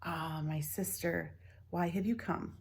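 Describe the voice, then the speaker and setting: A woman reading a gentle wise sister. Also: fairly low pitch, fairly even intonation, slow, breathy edge, full character voice. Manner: gentle, warm questioning.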